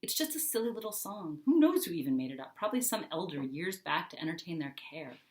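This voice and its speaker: A woman's voice: on the low side, sing-song, fast. Woman voicing a stubborn young girl, roomy echo. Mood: scornful, defiant. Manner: argumentative, emphatic. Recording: roomy, echoing indoor sound.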